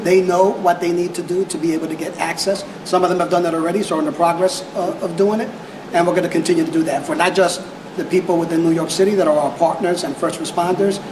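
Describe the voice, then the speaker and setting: A man's voice: high voice, fairly even intonation, fast. A man answering press questions as a police technology official, hesitant and repeating words as he searches for phrasing. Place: indoor press conference room. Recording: echoey room with clear background noise.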